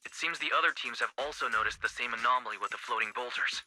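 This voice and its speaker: An actor voicing a calm, serious male teammate giving a report through a radio effect in a video game. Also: mid-range voice, quick pace, faint music behind, poor audio. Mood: serious, alert. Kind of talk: English video game voice acting.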